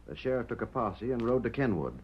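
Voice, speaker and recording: An actor playing a genial small-town stage line manager in an old radio western, with folksy talk. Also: male voice, mid-range voice, animated, fast, slight background noise, lightly acted. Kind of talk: 1940s radio western acting. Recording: dull vintage radio recording.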